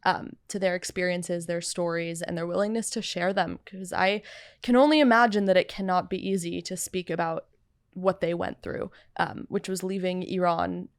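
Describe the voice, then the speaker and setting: Young woman student on a podcast, low-ish smooth voice, serious and thoughtful. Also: steady tone, normal speed, dry recording, studio quality. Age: young adult. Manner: serious, reflective, with frequent "um"s. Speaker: guest, student. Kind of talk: conversational interview. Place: dark studio set with sofas. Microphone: studio mic on a boom arm.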